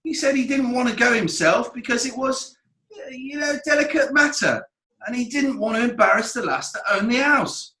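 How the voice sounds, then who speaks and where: Cheeky young Yorkshire errand lad played by a man, comic courtroom drama; hesitant, very high voice, echoey room. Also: expressive, moderate pace, pushed and bright, heavily acted. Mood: nervous, deferential. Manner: hesitant, deferential, self-correcting. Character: cheeky eight-year-old working-class Bradford errand boy witness. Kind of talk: comic courtroom play acting.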